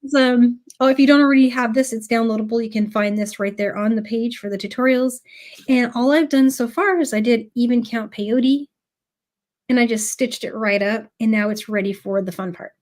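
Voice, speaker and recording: Woman, slightly high pitch, nursing a cold; chatty live craft teacher. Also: moderate pace, darkish tone. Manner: chatty, many ums, greets viewers by name. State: has a cold. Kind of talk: casual live tutorial.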